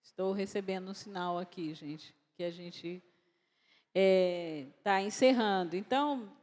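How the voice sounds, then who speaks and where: A Brazilian woman, an author speaking at a literary festival, talking unscripted in a measured way with pauses and restarts. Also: mid-range voice, a little mellow. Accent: Brazilian Portuguese. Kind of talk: unscripted talk answering audience questions. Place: lecture hall at a festival. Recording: long reverb of a large hall.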